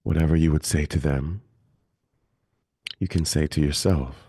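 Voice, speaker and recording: A man leading a guided meditation as a teacher, speaking gently and calmly with long, unhurried pauses. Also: a bass voice, slightly rough, no room sound.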